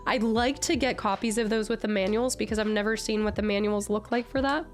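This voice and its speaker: A woman streamer chatting casually with her viewers, her voice nasal and raspy from a cold, bubbly and excitable. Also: mid-range voice, a little fast, soft background music. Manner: bubbly, excitable, greets chat often. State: recovering from a cold. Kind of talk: casual live-stream chat.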